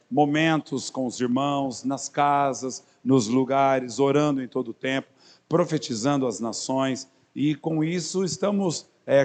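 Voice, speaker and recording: A man's voice: medium pitch, darkish tone. A man in his fifties from São Paulo with a São Paulo accent, a pastor introducing himself to a congregation in a chatty, joking way with many fillers and restarts. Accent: São Paulo Portuguese. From Brazil. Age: 50s. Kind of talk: sermon introduction, speaking to a congregation.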